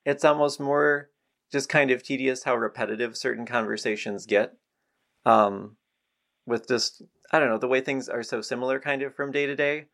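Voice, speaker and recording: A man's voice: mid-range voice, moderate pace, creaky, fairly bright tone. A man hosting a podcast, introducing and chatting in an interview style.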